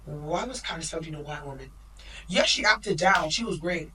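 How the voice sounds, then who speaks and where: A woman's voice: deep voice, pushed and bright, slight background noise. Opinionated woman, slightly distant mic. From Nigerian heritage. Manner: opinionated, emphatic, quick asides. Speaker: podcast co-host. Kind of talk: casual chat.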